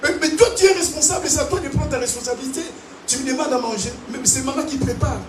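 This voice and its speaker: A man from Africa preaching a sermon to a congregation, animated and calling out to them. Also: very high-pitched, quick pace, over music. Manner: animated preaching with call-and-response. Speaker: pastor. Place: large church hall. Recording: echoey hall, distant mic, noisy.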